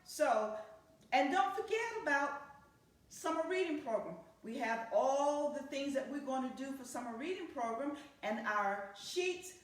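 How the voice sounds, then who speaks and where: A woman hosting story time for young children, speaking to them directly in an animated, sing-song way. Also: high voice, measured pace, recorded from a distance. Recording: very echoey, reverberant room sound.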